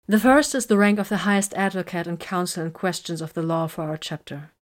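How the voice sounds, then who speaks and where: A woman's voice: fairly low pitch, fairly even intonation, fairly quick, natural voice. Smug amused female commander, sweet sarcasm; a woman narrator voices her.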